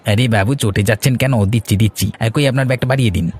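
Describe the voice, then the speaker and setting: A man's voice: mid-range voice, very fast, husky, a faint crowd, lightly acted. A man voicing a pushy, deferential market fishmonger in a cartoon, with wheedling, persistent sales patter. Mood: placating. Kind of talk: cartoon fairy-tale voice acting.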